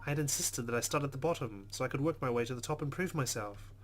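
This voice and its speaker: A male gaming host narrates a visual novel as he plays. His voice sits at a middle pitch, and game music plays clearly behind him. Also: fast. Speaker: let's play host. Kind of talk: reading visual novel narration aloud with asides.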